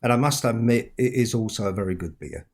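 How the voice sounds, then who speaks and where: Middle-aged london guy on a video call, chatty, jokes around, mid pitch. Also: brisk, a little mellow. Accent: London English. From England. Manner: chatty, teasing, laughs while talking. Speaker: author guest. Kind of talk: casual podcast chat.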